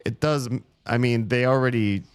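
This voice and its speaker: A man streaming a puzzle game, grumbling sarcastically about the puzzles while his voice is going hoarse. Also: medium pitch, moderate pace, no room sound. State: losing his voice. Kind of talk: casual live-stream commentary.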